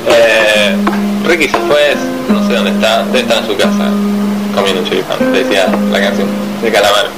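A man's voice: mid-range voice, big pitch swings, moderate pace, vocal fry, over loud music, poor audio. A man with an Argentine accent hosting a mock radio interview in a comedy show. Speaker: host.

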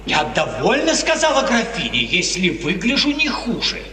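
An actor playing a proud, eloquent court painter with a deferential, florid manner in 1950s theatrical radio drama style. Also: male voice, high-pitched, huge pitch swings, normal speed, sharp tone, full character voice. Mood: politely wounded. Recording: echoey hall, distant mic, audience noise.

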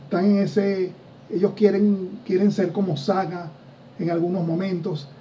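A man's voice: high-pitched, level tone, a little slow, warm tone, far from the mic, highs cut off, a little hiss or hum. A man co-hosting a progressive rock music show, chatting casually and enthusiastically about bands, with frequent fillers. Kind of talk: casual radio-style music chat.

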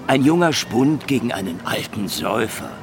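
A man's voice: medium pitch, very animated, moderate pace, over music, close to an everyday voice. A man playing a cunning, hard-drinking old private detective in a hard-boiled radio drama, taunting and wordy in his wisecracks. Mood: menacing, mocking. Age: older.

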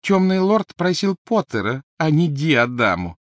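Man doing a huge teen bully, oddly soft voice, raised and high, jeering, menacing, dry close sound. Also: big pitch swings, measured pace, no echo. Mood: furious. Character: huge teenage boy thug, gentle-voiced and brutish. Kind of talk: audiobook character voice.

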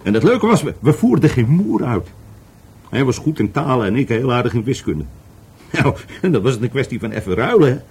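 A tipsy, stammering man, swinging pitch. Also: big pitch swings, brisk, a little mellow, husky, full character voice. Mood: rambling, animated. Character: drunken, rambling, bitter divorced former business partner. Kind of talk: Dutch radio play acting.

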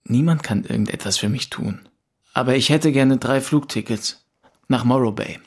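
A man's voice: medium pitch, moderate pace, no room sound, close to an everyday voice. An actor playing a grieving young male student, narrating a German radio drama in the first person in short, introspective phrases. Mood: wistful, resolute. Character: grieving, rootless 22-year-old male student, bitter and melancholy. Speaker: narrator and lead in an audio drama. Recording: music behind the voice. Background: music.

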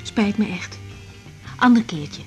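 A woman acting in a 1980s Dutch radio drama as a young doctor, halting, polite but candid, with a Netherlands Dutch accent. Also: mid-range voice, animated, faint music behind. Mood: pleading, firm.

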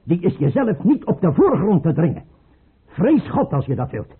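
An actor playing a paranoid, scornful tsar in a radio play, imperious and abrupt, with a husky, rough voice. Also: male voice, high-pitched, very animated, normal speed, exaggerated voice. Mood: mocking, suspicious. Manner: imperious, abrupt, mocking, swinging from mutter to outburst. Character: paranoid tyrant tsar, erratic, scornful, suspicious father. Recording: muffled, tinny old radio play recording.

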